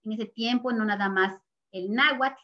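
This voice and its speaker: An older Mexican woman, a native Nahuatl speaker, speaks with a Mexican Spanish accent in a slightly raised voice. She talks very slowly and earnestly, pausing often as she looks back on her life. The recording sounds muffled and lo-fi. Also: a little high. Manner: measured and earnest, pausing with soft fillers. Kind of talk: slow, reflective interview testimony.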